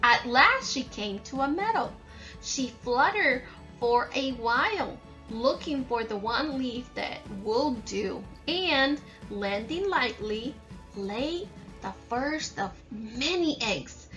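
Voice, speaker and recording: A woman reads and explains a picture book for children as a teacher would. Her voice is high and bright, and her pace is slow. Soft music sits behind her. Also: lively intonation. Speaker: read-aloud storyteller and teacher. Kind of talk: children's story read-aloud and explanation.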